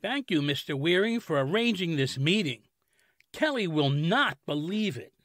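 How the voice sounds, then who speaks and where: A man's voice: on the high side, very animated, moderate pace, a bit bright, dry recording, studio quality. A man playing an eager, starstruck superhero fan in a comedic audio drama.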